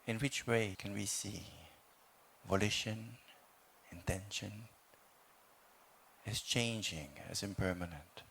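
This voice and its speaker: Guy leading a meditation, lowish croaky voice, calm n measured w long pauses, bit of background noise. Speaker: meditation teacher. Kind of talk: guided meditation, calm instruction. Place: meditation hall.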